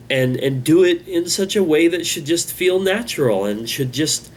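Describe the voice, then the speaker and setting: A man hosting his own channel, talking in a halting, rambling, self-critical way, with a congested voice. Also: mid-range voice, a little hiss or hum. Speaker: channel host. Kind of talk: casual vlog monologue.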